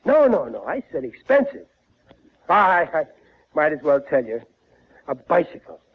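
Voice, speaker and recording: A man's voice: fairly high pitch, huge pitch swings, unhurried, breathy edge, full character voice. An American man playing a gullible, blustering working-class father in 1940s radio comedy, stammering through bad grammar. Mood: scheming, blustering. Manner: ungrammatical, stammering, exasperated. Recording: muffled old-time radio broadcast.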